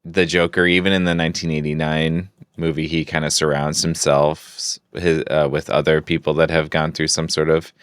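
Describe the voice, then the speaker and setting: A man's voice: a bass voice, little pitch movement, normal speed, few pauses, fairly bright tone, smooth, no room sound, studio quality. A man co-hosting a podcast, talking casually and thoughtfully, feeling out his phrasing as he goes. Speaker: podcast co-host. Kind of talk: casual discussion.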